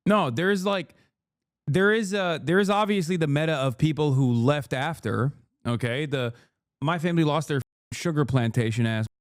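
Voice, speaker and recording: A man streaming casual reaction commentary, profane, animated and rambling. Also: a little high, moderate pace, no room sound, studio quality.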